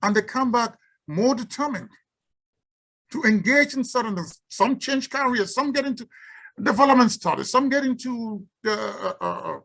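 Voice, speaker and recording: A woman's voice: fairly high pitch, expressive, moderate pace, gravelly, a bit bright. A woman from Cameroon with a Cameroonian accent, giving an unscripted symposium talk and taking questions, often stumbling and repeating words. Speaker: symposium speaker and researcher.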